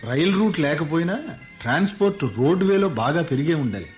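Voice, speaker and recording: A man playing a wistful, lively industrialist. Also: a little high, fast talker, lightly acted. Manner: nostalgic, playful, commanding. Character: wistful middle-aged male industrialist. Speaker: lead character. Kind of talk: radio play acting. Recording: muffled radio play with faint music.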